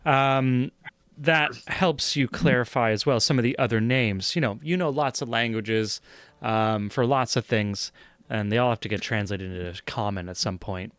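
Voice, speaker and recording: A man running a tabletop role-playing game as game master, narrating with many pauses and fillers. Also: medium pitch, fairly bright tone, smooth, dry recording.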